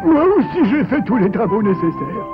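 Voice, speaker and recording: A bumbling, enthusiastic cartoon animal, talks very high with lively swings. Music plays behind the slightly dull soundtrack. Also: male voice, moderate pace. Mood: keen, proud. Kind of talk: French cartoon dubbing.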